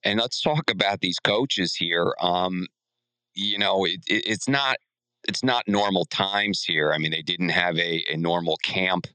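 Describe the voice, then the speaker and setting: A man hosting a sports podcast, talking casually in a halting, conversational way and putting questions to his co-hosts. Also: low voice, sharp tone, clear, resonant tone, no room sound, rough recording.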